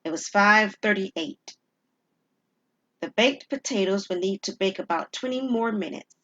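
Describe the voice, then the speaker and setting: Casting: female storyteller, mid-pitched, deliberate dramatic narration with suspense. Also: a bit bright, dull audio.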